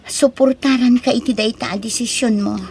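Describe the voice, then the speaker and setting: High-voiced Ilocano young woman, acted by a woman. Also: steady tone, moderate pace, natural voice. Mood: worried, pleading. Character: affectionate young woman missing her cadet boyfriend. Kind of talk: Ilocano radio-style audio drama acting.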